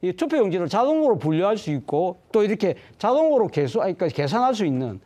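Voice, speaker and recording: A male professor gives commentary on a studio panel show. His voice runs high and lively while staying mellow in tone. He explains at length and often corrects himself mid-sentence. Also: fast talker. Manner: animated, self-correcting explanation.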